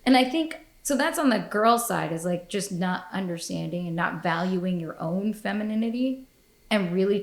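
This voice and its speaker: A woman hosting a podcast in casual chat, blunt and profane, laughing often. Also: medium pitch. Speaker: podcast host. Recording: echoey room.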